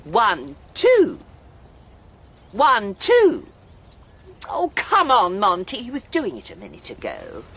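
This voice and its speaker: A woman's voice: mid-range voice, wildly swooping pitch, takes it slowly, long pauses, a bit bright, breathy edge, exaggerated voice. An actress playing a fussy, eager middle-aged woman in a radio soap, coaxing her dog in exclamatory, sing-song tones.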